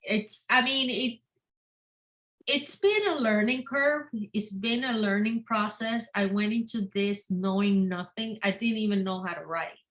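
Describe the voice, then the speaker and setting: Slow-talking woman, English not her first language. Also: medium pitch, a bit bright. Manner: repeats words, restarts often. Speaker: guest author. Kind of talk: casual interview chat.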